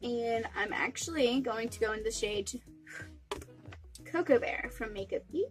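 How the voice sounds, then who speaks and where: A woman's voice: a little high, animated, unhurried, soft background music. A young woman with a cold and a stuffy nose, chatting through a makeup tutorial step by step. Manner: chatty, friendly step-by-step explaining. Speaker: beauty vlogger.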